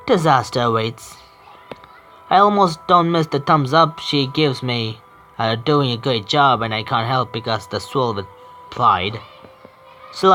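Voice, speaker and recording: A man's voice: mid-range voice, pushed and bright, slight background noise. A man streaming himself reading a visual novel aloud, often stumbling and correcting himself.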